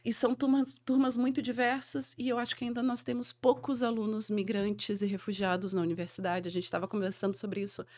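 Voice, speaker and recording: A woman's voice: medium pitch, flat delivery, brisk, few pauses, darkish tone. A woman university professor talks as a guest in a podcast interview. She speaks earnestly and reflectively, thinking aloud as she goes. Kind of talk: conversational interview.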